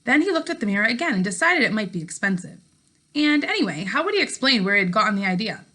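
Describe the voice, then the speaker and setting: A woman teacher reading a story aloud to her class in an expressive way, with casual remarks. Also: mid-range voice, quick pace.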